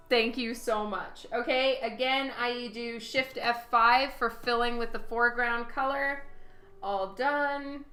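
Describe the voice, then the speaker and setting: Hoarse woman with a cold, chatting. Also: on the high side, fairly even intonation, slow pace, pauses often, bright, forceful tone, faint music behind. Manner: chatty, thanks viewers, laughs often. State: hoarse from a cold, voice partly lost. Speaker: digital comic artist streaming. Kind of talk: casual livestream commentary while painting.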